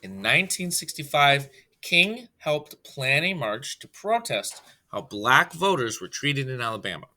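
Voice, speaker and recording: Bright, expressive man reading aloud. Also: mid-range voice, measured pace. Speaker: reader, storyteller. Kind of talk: scripted read-aloud of a children's biography.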